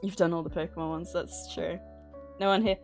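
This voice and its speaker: A woman's voice: fairly low pitch, level tone, a little fast, creaky, faint music behind. A woman hosting a livestream, chatting casually in a nervous, giggly way. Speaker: livestream host. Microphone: streaming microphone at a desk.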